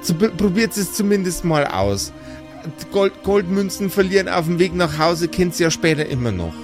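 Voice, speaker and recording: A man's voice: high voice, very animated, normal speed, over music. A man voicing a weary, nagging mother with a southern German or Austrian accent, exasperated and pleading in an improvised audio play. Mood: coaxing. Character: weary nagging mother pushing her grown sons to work.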